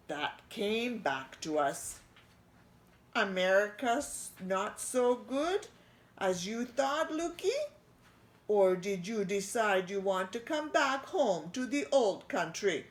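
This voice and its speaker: A woman putting on a sneering pirate rat. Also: medium pitch. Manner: rough, sneering, mock-sweet. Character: sneering pirate rat gang leader, sly and menacing.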